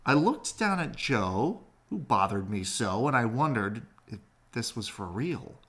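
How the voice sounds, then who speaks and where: Man reading aloud, slow and very animated. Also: medium pitch, breathy edge. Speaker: read-aloud storyteller. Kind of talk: expressive children's picture book reading.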